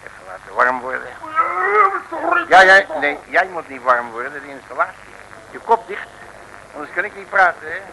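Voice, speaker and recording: An actor playing a bossy, jovial detective in a mid-century Dutch radio comedy, exclamatory and colloquial. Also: male voice, on the high side, animated, normal speed, pauses often, pushed and bright, heavily acted, poor audio. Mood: scheming, bossy. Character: boisterous, bossy, jovial male comic detective. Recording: hissy 1950s radio recording.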